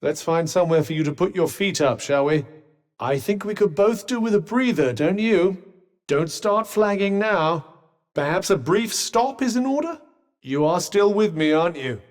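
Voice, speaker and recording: A man's voice: high-pitched, lively intonation, normal speed. A man with a British accent voicing a haughty, sardonic magical cuff companion in a video game, posh and understated in his dry, condescending delivery. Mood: firm, mildly concerned. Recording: voice with long reverb.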